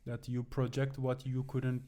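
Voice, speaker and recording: A man's voice: mid-range voice, completely flat delivery, a little slow, dark tone. A man from Iran in casual podcast conversation, speaking earnestly and reflectively. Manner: reflective, earnest, quotes his mother.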